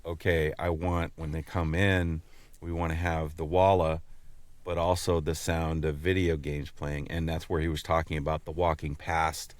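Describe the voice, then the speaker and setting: A man co-hosting a podcast, chatting casually in a measured, explanatory way. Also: rumbling low, flat delivery, no echo. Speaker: podcast co-host. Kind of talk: casual chat.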